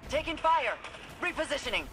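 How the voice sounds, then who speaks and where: A woman's voice: high-pitched, animated, a little fast. An actress playing an urgent, battle-strained female soldier in a video game, with tense delivery. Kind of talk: dramatic video game acting. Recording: game combat noise behind the voice.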